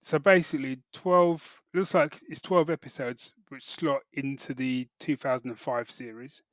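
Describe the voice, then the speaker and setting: A man's voice: mid-range voice, fairly even intonation, moderate pace, dry recording. A British man co-hosting a podcast, explaining things in casual, informal chat with some hesitant phrasing. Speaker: podcast co-host reporting news.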